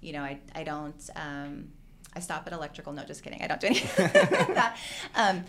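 A middle-aged woman from Hawaii talks as a podcast guest in an earnest, chatty way. Her voice sits low, with a gravelly fry running through it. She strings her thoughts together loosely with "like" and "you know". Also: brisk, fairly bright tone, slight background noise. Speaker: podcast guest, real estate agent. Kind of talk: casual interview chat.

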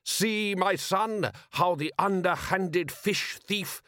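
A man voicing a rival foreign fisherman in an audiobook, theatrically indignant and declamatory, with florid, drawn-out delivery. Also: sits high, pushed and bright, no echo, clean studio sound, voice pushed up, exaggerated voice. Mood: scornful.

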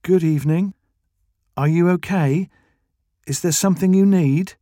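A man voicing a kindly, calm policewoman in an audio story, speaking with patient, sympathetic care. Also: medium pitch, dry recording, clean studio sound, pitched above the performer's own voice. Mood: gentle, sympathetic. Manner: slow, clear, sympathetic.

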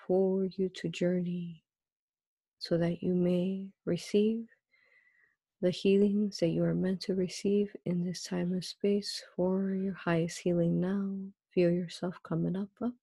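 A woman leading a guided meditation in a soft, breathy voice, soothing and hypnotic, with drawn-out, repeated phrases. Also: lowish voice, flat delivery, no echo.

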